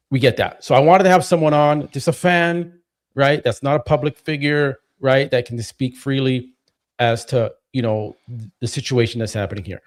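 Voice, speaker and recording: A man hosting a live stream, relaxed and chatty, often saying "you know" and "right?". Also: mid-range voice. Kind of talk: casual live-stream chat.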